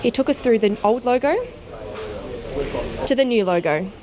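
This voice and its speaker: A woman's voice: a little high, moderate pace, crowd noise. A woman with an Australian accent working as a television reporter, giving news reports and asking interview questions on location.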